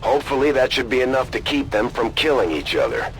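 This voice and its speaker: An actor playing a businesslike military resistance officer in a video game, giving scripted briefings with authority. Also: male voice, mid-range voice, big pitch swings, fast talker, fairly bright tone, faint music behind, poor audio. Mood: businesslike, firm. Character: gruff male military resistance operations officer briefing squads. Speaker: mission briefer.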